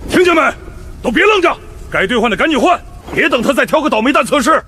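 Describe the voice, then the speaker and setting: An actor playing a brash, loyal, foul-mouthed fighter with a coarse, blustering, blunt manner in an animated web drama. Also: male voice, very high-pitched, quick pace, exaggerated voice. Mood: gruff, bossy. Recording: music behind the voice.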